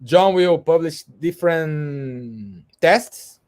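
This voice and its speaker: Slow-speaking man, halting English. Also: fairly high pitch, animated, darkish tone. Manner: halting, repeats words, self-correcting. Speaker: co-host and English learner. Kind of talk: casual livestream chat.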